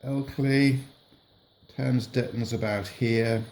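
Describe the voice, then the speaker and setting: A man's voice: medium pitch, fairly even intonation, slow pace. A middle-aged man, patiently explaining a lesson to young children while he marks up a map. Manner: patient, gentle, narrates while drawing on a map.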